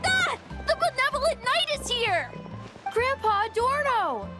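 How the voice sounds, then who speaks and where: A woman voicing an excited, sweet, eager small village child in a video game. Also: extremely high pitch, a little slow, exaggerated voice. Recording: background music.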